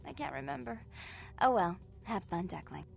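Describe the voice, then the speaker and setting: High-pitched, coquettish, volatile vampire. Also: female voice, very animated, normal speed, vocal fry, soft background music, heavily acted. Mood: playful, conspiratorial. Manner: coquettish, teasing, theatrical, swinging into wounded pouting. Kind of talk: video game character acting.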